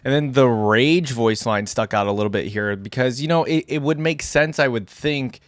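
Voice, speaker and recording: A man's voice: mid-range voice, moderate pace, no echo, clean studio sound. A man hosting a reaction video, giving casual, conversational commentary and thinking aloud. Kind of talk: casual commentary on game voice lines.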